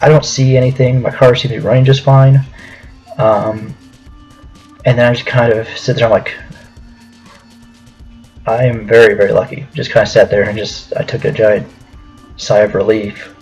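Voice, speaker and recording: A man's voice: mid-range voice, level tone, moderate pace, long pauses, darkish tone, highs cut off, soft background music. A man telling a personal story to viewers in a casual, hesitant, conversational way, as a video storyteller.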